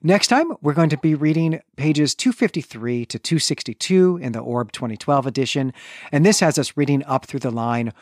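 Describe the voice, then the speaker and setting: A man's voice: a little high, animated, moderate pace, no room sound. Articulate male podcaster, very dry sound. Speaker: podcast co-host. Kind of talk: conversational literary analysis.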